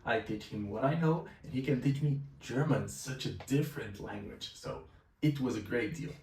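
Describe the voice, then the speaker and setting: Young male language teacher, Brazilian accent, native Portuguese speaker, relaxed vlog storytelling, mid-pitched voice, mic set back. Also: moderate pace. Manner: casual, conversational, with filler phrases. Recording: roomy echo, mic at a distance.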